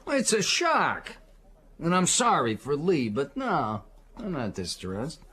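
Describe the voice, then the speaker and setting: An actor playing a bitter, boastful sixty-year-old ex-husband in a radio drama, defensive and blustering. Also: male voice, fairly high pitch, wildly swooping pitch, slow, fairly bright tone, a little hiss or hum, exaggerated voice. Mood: cool, unmoved.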